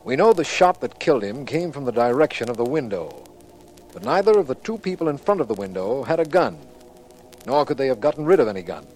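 A man acting as a businesslike district attorney in an old radio mystery. He speaks formally and earnestly, with plenty of rise and fall in his medium-pitched voice. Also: very animated, brisk, darkish tone, slight background noise, close to an everyday voice, low-quality recording. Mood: formal, grave. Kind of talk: 1940s radio crime drama, formal delivery.

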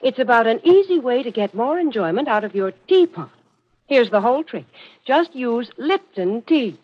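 A woman reads a scripted sponsor pitch in 1940s radio style. Her voice is fairly high and very animated, with polished sales delivery. The recording is dull and lo-fi. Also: moderate pace, breathy edge.

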